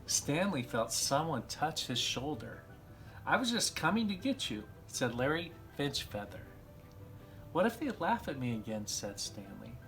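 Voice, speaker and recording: A man guest reader reading a children's story aloud in an expressive way. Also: mid-range voice, measured pace, faint music behind, low-quality recording. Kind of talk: reading a picture book aloud.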